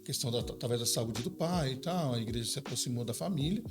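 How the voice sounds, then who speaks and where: A man in his forties with a São Paulo accent, a pastor telling his life story in a relaxed, chatty podcast conversation, laughing easily. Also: mid-range voice, quick pace, music in the background. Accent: Paulistano Brazilian Portuguese. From São Paulo, Brazil. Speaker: pastor and seminary director.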